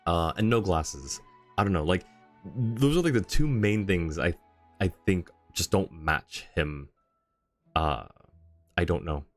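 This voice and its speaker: A man's voice: low-pitched, expressive, a little slow, pauses often. A man doing casual live-stream game commentary, rambling and thinking aloud as he chats with viewers. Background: faint game music.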